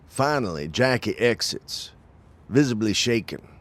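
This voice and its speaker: A man's voice: on the low side, expressive, unhurried, fairly bright tone. A man reading scripted narration for an audio drama as its narrator.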